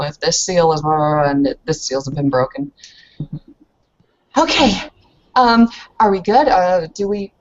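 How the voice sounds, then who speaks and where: Woman roleplaying over muffled lo-fi audio. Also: low voice. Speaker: player in a tabletop roleplaying game. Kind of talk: casual roleplay chat and narration.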